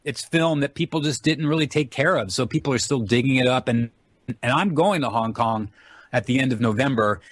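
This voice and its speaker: A man's voice: mid-range voice, brisk, slightly rough, no room sound. Eager American man chatting over internet call. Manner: rambling, eager. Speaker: guest, actor and film director. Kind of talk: casual, chatty interview storytelling.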